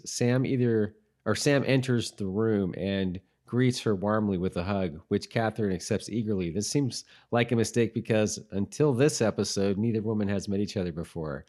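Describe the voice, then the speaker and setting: A man co-hosting a podcast, casually chatting and reading notes in a laid-back, halting way with self-corrections. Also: lowish voice, a little mellow. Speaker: podcast co-host.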